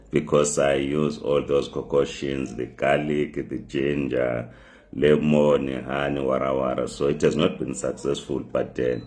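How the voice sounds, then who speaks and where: A man with a hoarse voice from the flu, hosting a live talk show from his phone, speaking haltingly with many fillers and repeated words. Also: very deep voice, flat delivery, a little mellow. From migrant living in South Africa.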